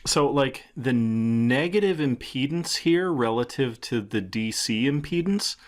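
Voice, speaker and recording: A man presenting a software tutorial in a casual, unscripted way, thinking aloud as he explains what he is doing. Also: mid-range voice. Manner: informal, thinking aloud with trailing phrases.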